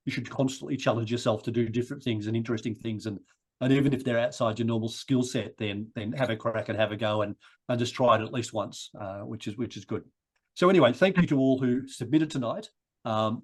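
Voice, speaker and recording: A man giving a rambling thank-you speech for a society, his voice croaky from a chest cold. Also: medium pitch, darkish tone. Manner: rambling, many restarts and repeated words.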